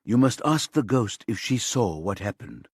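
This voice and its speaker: A calm police detective in a crime audiobook, played by a male narrator. He is quietly insistent and persuasive, with a low, husky voice. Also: normal speed, no echo, clean studio sound.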